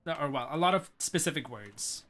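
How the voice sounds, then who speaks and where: Laid-back Norwegian guy, bright voice. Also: on the high side, lively intonation, moderate pace. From Norway. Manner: rambling, filler-heavy, laid-back. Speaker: streamer. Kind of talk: casual chat during a speedrun stream.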